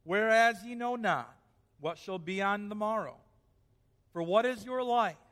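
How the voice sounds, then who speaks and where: A man's voice: sits high, unhurried, fairly bright tone, big-room sound. A male pastor preaching a sermon in a church, reading scripture aloud and then pressing his point with rising emphasis.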